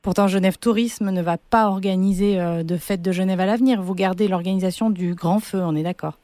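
A woman's voice: mid-range voice, steady tone, brisk, warm tone, dry recording. A woman working as a journalist, putting composed, probing questions in a news interview.

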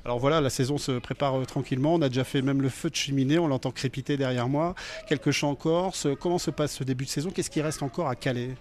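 A man reporting on location, asking questions with many fillers and a lively, loud delivery. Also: mid-range voice, fast talker. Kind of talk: field news report with interviews. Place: indoors, in a restaurant and a chocolate shop.

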